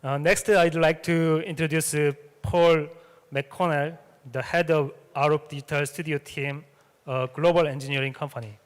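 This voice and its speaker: A man's voice: on the high side, steady tone, moderate pace, smooth. A man moderating a symposium session, speaking English as a with many hesitations and fillers. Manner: hesitant, frequent "uh" fillers and restarts. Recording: reverberant hall sound.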